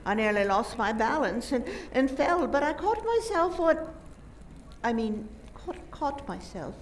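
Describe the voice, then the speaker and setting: An actress playing a clumsy, flustered, dramatic lady in a radio play, with a plaintive, halting delivery. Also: high voice, sing-song, slight background noise. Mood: earnest recounting. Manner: plaintive, drawn-out, halting self-corrections. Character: clumsy, flustered, dramatic young lady grieving her friend. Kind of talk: community theater radio play acting.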